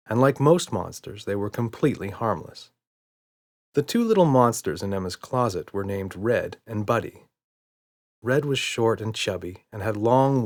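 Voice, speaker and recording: A man's voice: on the low side, gravelly, darkish tone. A man reading a children's audiobook as narrator, in scripted storytelling style.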